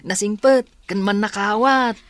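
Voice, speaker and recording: A woman's voice: medium pitch, takes it slowly, dry recording, close to an everyday voice. A woman playing a cheerful, friendly young schoolboy in a radio drama, with a Filipino accent. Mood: friendly, grateful. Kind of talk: Ilocano radio drama acting.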